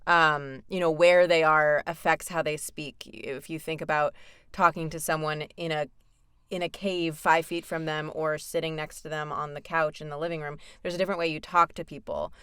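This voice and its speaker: A woman's voice: sits low, level tone, normal speed, fairly bright tone, smooth, dry recording, studio quality. A woman voice actor, talking casually as an interview guest, chatty with frequent fillers.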